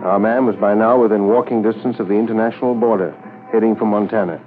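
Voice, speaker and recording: Formal lowish-voiced 1950s radio narrator man. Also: fairly even intonation, music in the background, lightly acted. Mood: solemn, factual. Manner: formal, measured. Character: solemn documentary-style police drama narrator. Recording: tinny 1950s radio recording.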